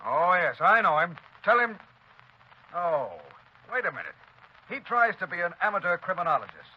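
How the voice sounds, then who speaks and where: A man's voice: high-pitched, sing-song, normal speed. A man acting in a 1930s radio drama with a formal, somewhat pompous delivery. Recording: dull old radio recording, faint hiss.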